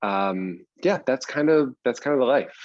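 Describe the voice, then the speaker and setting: A man's voice: mid-range voice, lively intonation, normal speed, darkish tone, no echo, lo-fi sound. A man from the US East Coast chatting casually as an interview guest, explaining things in a self-deprecating way. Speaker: guest, TV writer and teacher.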